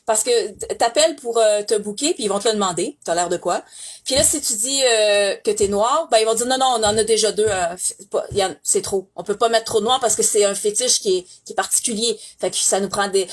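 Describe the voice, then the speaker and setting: Young Québécoise woman, pitched a bit high, emphatic and indignant testimony, restarts often. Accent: Québécois French. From Canada. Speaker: former sex industry worker giving testimony. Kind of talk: impassioned testimony.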